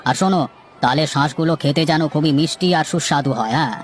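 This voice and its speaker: A man playing a chatty, bossy village gentleman and doting father in a cartoon, with an expressive, instructing delivery. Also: on the high side, fast, lightly acted. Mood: curious, eager. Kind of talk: acted dialogue in a moral-story cartoon.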